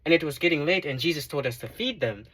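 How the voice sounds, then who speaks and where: A man playing a devout former tax collector in a dramatic monologue, giving earnest, measured testimony. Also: fairly high pitch, lively intonation, a bit bright, slightly rough. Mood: fervent, awed. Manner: earnest, measured, emphatic storytelling.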